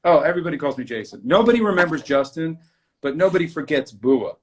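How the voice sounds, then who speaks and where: A man's voice: on the high side, expressive, fast. Man, interview host, effusive, enthusiastic, casual talk.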